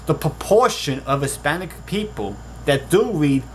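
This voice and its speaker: A man's voice: fairly high pitch, expressive, measured pace, slight background noise, poor audio. A young man with a Puerto Rican accent talking to a webcam in his bedroom, earnest and rambling, often restarting his sentences. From Puerto Rico. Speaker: author. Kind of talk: casual vlog monologue. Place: small bedroom. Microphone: webcam at desk.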